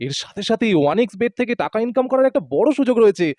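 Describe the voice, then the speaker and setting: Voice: medium pitch, expressive, rapid-fire, warm tone, no room sound. A presenter reading a scripted advertisement. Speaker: promo presenter.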